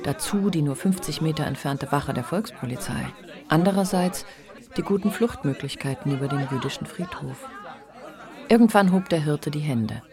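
A narrator delivers scripted literary prose for a radio drama. The voice sits at a medium pitch, with a clearly audible crowd murmuring behind it. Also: lively intonation, moderate pace.

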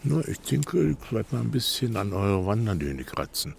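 An actor playing a sly, mischievous older village neighbour in a radio play, teasing with feigned innocence. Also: male voice, fairly low pitch, very animated, moderate pace, vocal fry, a little hiss or hum, heavily acted. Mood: smug, needling.

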